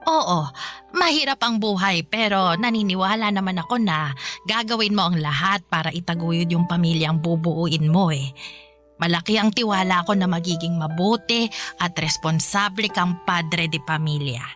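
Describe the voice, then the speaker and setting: An actress playing a caring, worried older mother who gently nags in a conversational radio drama style. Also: on the low side, normal speed, faint music behind, close to an everyday voice. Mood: gently nagging. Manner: conversational, fond, chiding with hesitant interjections.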